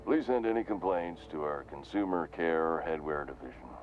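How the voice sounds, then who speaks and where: A man's voice: very deep voice, expressive, moderate pace, lo-fi sound, faint music behind. An actor playing a corporate vendor in a mascot helmet in a video game, with forced salesman patter over weary resignation. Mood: forced salesman cheer. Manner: forced salesman cheer with slogans, slipping into resignation. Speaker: shop vendor.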